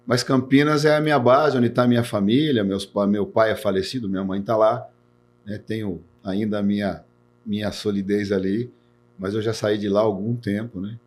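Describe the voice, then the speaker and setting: A man's voice: lowish voice, fairly even intonation, moderate pace, slight background noise. A man in his forties from Brazil, an insurance executive talking earnestly in a podcast interview and often adding "né". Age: 40s. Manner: earnest, repeats words, tags sentences with "né".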